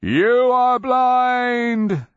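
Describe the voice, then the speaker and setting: A man voicing an ancient, half-mad nonhuman warrior king who taunts in loud, declamatory cries for a fantasy audiobook. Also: very slow, no echo, muffled recording, full character voice. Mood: scornful, accusing. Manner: high, shouted, declamatory taunting. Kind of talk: fantasy audiobook character acting.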